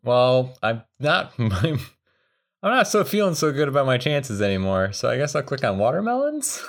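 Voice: medium pitch, sing-song, normal speed. A person chatting casually, reacting with laughs and exclamations. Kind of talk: casual chat.

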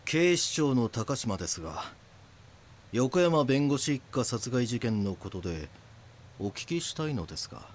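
An actor playing an earnest police detective who narrates his case in a grave, measured way for an audio drama. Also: medium pitch, big pitch swings, a little hiss or hum. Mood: serious, restrained.

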